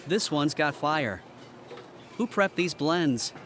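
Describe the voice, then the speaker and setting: A man's voice: fairly high pitch, animated, slow pace. A man voicing a bold, roguish spice dragon cook in an audio drama, savoring his terse lines over faint noise. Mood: intrigued relish.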